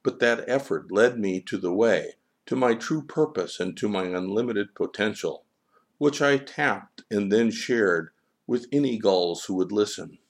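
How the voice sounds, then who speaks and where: Man voicing a proud, protesting gull, pitched up. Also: measured pace. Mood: boastful, defensive. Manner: protesting, boastful, emphatic. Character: proud gull, defensive, flustered, pleading seeker. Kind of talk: storybook character dialogue.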